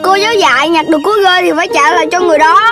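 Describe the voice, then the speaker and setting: High-pitched little cartoon puppy boy. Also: racing. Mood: playful. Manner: childlike, expressive. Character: playful little spotted puppy boy, naive and apologetic. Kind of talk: children's cartoon dubbing. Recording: music playing behind the voice.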